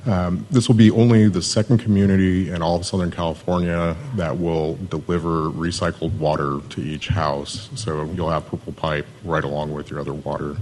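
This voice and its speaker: Deep monotone man pitching his city. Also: moderate pace, few pauses, a little hiss or hum. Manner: self-described monotone, steady with fillers. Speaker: economic development manager. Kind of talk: conference pitch presentation.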